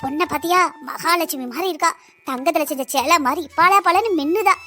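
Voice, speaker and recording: A high, quick voice of a woman playing an elderly village grandmother in a cartoon. She rambles fondly in homely speech with a southern Tamil Nadu accent. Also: bright, forceful tone, faint music behind. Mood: chatty. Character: old village grandmother, chatty and sentimental. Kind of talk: Tamil comedy cartoon dialogue.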